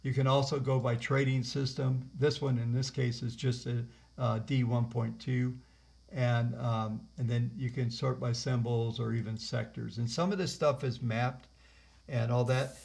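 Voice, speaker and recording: Gravelly man hosting a webinar. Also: mid-range voice, level tone, a little slow, a little mellow. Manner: rambling, lots of fillers and restarts. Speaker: host and trainer. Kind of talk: live webinar software walkthrough. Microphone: computer mic on a video call.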